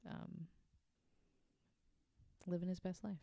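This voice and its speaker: A woman's voice: lowish voice, steady tone, slow pace, gravelly, dark tone, no room sound. A woman hosting a podcast, telling nonfiction stories casually from her notes with informal, wry asides. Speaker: podcast host.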